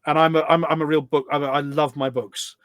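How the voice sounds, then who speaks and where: Enthusiastic man chatting, slightly higher-pitched, dry sound. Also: level tone, brisk, fairly bright tone, dry recording. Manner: enthusiastic, rambling explanations. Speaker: podcast guest, gaming community event organiser. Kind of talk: casual interview chat.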